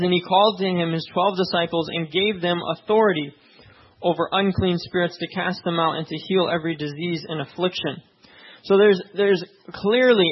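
A man with a notably high voice leads his church, first in a hushed prayer and then in a relaxed, conversational sermon full of quick check-ins with his listeners. He grew up in Tanzania. The recording sounds slightly muffled, with the top end rolled off. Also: slightly rough. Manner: conversational preaching with frequent "right?" check-ins. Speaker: pastor.

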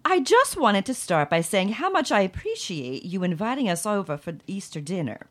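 A prim, self-righteous mother played by a woman in a comic audio drama. She speaks with formal, measured politeness, her pitch moving expressively beneath the indignant propriety. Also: very animated, fairly bright tone. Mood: stiffly polite. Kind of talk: scripted comedy audio drama.

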